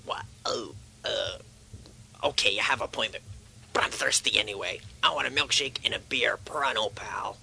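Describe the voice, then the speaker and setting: A man's voice: mid-range voice, moderate pace, creaky, bright, forceful tone, slight background noise, full character voice. A man playing a cranky, demanding hospital patient in a comedy sketch, whining, blustering and grumbling out orders and insults.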